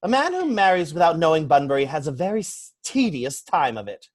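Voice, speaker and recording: A man acts out a young English gentleman idler from a stage comedy. His highish voice swoops about as he delivers flippant wit with airy, pompous conviction. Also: very animated, brisk. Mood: breezy, arch. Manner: pompous, airily mock-serious declaiming. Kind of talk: theatrical dramatic reading of a stage comedy.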